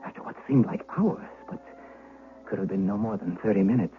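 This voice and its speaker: An actor playing a fearful witness who recounts a dark tale in suspenseful, literary 1970s radio narration. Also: male voice, lowish voice, brisk, close to an everyday voice. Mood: uneasy, watchful. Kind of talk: dramatic first-person radio narration, 1970s. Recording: muffled old radio recording, clear noise.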